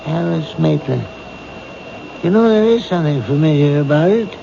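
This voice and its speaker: A forgetful grieving widower. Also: male voice, fairly high pitch, lively intonation, moderate pace, vocal fry, warm tone. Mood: evasive, vague. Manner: hesitant, trailing off. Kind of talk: 1970s radio drama acting. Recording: dull old radio broadcast with hiss.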